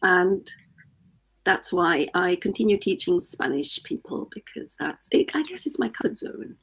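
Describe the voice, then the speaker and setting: A woman from Austria, a native German speaker and guest language teacher, answering interview questions in a relaxed, conversational way. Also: on the low side, a little slow, breathy edge.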